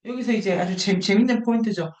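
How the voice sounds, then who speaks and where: A woman's voice: medium pitch, quick pace, a little mellow, echoey room. A woman lecturing informally to a seminar group, thinking aloud, posing questions and repeating key words for emphasis. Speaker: lecturer leading an online book seminar.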